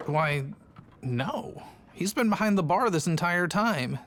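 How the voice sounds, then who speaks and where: An actress playing a composed tavern customer in a video game, measured and casual. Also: female voice, fairly low pitch, animated, a little hiss or hum. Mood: feigned nonchalance. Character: composed tavern customer covering for the bartender, female.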